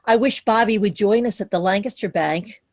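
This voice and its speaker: A woman playing a fond, wry widowed mother who works in banking, speaking in a measured, conversational way in a musical theatre read-through. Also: medium pitch, natural voice. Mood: wry, resigned. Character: widowed banker mother, middle-aged or older, fond and wry. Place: recorded remotely online.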